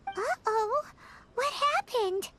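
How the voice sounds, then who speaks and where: A woman voicing a tiny, childlike fairy companion in a game dub, squeaky, curious and excitable. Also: extremely slow, slight background noise, exaggerated voice. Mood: startled, curious. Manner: childish, third-person speech. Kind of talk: English game dub dialogue.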